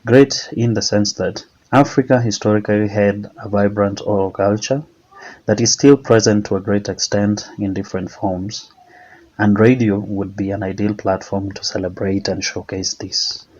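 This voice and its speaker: A man's voice: on the low side, steady tone, normal speed, a little mellow, highs cut off. A man reading a scripted essay aloud as a contributor to an academic program. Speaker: contributor answering a question on African studies.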